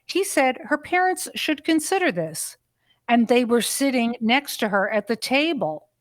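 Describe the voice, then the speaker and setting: A woman's voice reading out a listener's letter in an even, measured way. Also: a little high, dry recording.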